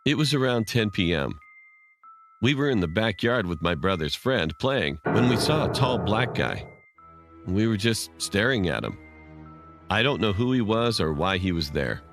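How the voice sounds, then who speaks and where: A man's voice: lowish voice, sing-song, normal speed. A man reading a scripted first-person horror story as narrator, with music behind him. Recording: prominent music under the voice.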